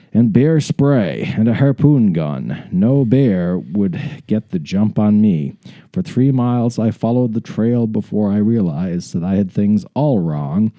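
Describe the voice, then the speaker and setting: The fellow at a podcast table with the book open, a deep, unhurried-sounding dark tone in a dry room, reading out loud and tossing in crude banter. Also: male voice, no room sound. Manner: irreverent, profane asides. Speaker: podcast co-host reading a story aloud. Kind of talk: reading aloud mixed with casual banter.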